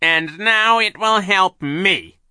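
A man's voice: high-pitched, pushed and bright, clean tone, no room sound, voice pushed up, exaggerated voice. A man doing a gloating cruel villain. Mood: menacing. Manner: menacing, drawn-out threats.

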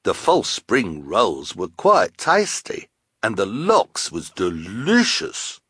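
A man voicing a mild, appreciative henchman in a storybook, speaking in a measured manner. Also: lowish voice, huge pitch swings, a bit bright, slightly rough, no room sound, clean studio sound, heavily acted. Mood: approving. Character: mild hook-handed henchman, approving amateur food critic.

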